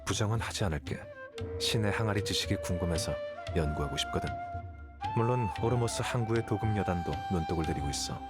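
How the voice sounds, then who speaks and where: Low calm aloof scholar dude from a video game, matter of fact, calculating, music playing behind. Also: male voice. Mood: calm, explanatory. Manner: composed, matter-of-fact, measured explanations. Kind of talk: scripted game dialogue, Korean voice acting.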